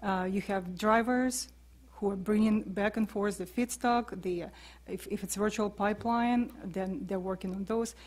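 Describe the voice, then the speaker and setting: A woman's voice: mid-range voice, steady tone, a little mellow. A woman, a panelist answering questions in a briefing room, with frequent fillers. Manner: halting, many fillers.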